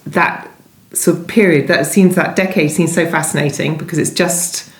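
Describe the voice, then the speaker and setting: A woman's voice: low voice, steady tone, normal speed. A woman speaking conversationally as an interviewed novelist, in a discursive way, often interrupting and rephrasing herself. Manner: discursive, self-interrupting, hedging with "sort of". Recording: echoey room.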